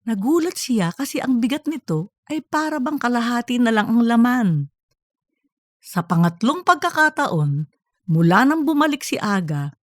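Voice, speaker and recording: A woman reading scripted comedic fiction as an audiobook narrator, in a lively storytelling way. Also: fairly high pitch, dry recording, studio quality.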